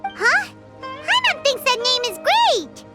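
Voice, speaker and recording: A woman voicing a tiny, excitable, childlike fairy companion in a video game, with a squeaky voice. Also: slow, over loud music, full character voice. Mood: curious, cheerful. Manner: refers to self in third person, exclaiming.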